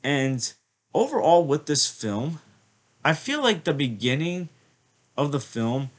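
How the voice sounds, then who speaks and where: Sniffly man rambling a film review. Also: medium pitch. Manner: rambling, many "like" and "I feel like" fillers. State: slightly congested, sniffling from a cold. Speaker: movie reviewer. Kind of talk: casual spoken film review.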